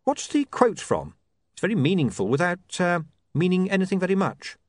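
A man voicing a timid, nervous young male clerk in a storybook reading, pitching his voice high and anxious. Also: sing-song, moderate pace, dry recording, studio quality. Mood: polite.

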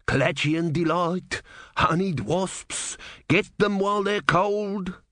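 A man's voice: sits high, takes it slowly, pushed and bright, no echo, studio quality, voice pushed up, heavily acted. A man doing a sly, wheedling street peddler. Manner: hawking, wheedling. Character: skinny, sly street peddler, pushy, hopeful.

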